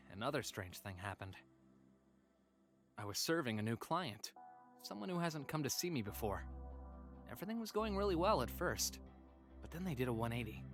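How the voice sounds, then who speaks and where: A man voicing a polite, weary android companion who narrates a sci-fi visual novel diary in a measured, formal, hesitant way. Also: mid-range voice, a bit bright. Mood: troubled. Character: weary obedient male android companion, polite, quietly troubled.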